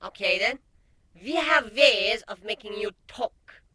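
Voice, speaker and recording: A man voicing a theatrical male changeling showman in a story reading, with loud, drawn-out proclamations and a roomy echo. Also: sits high, expressive, recorded from a distance, pitched above the performer's own voice, exaggerated voice. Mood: mock menacing.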